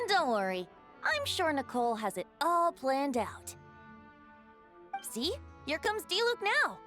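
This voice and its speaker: A woman's voice: high voice, sing-song, slow pace, bright, forceful tone, soft background music, full character voice. A woman voicing an easygoing, playful youthful bard in a fantasy video game. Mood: amused. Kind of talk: video game character acting.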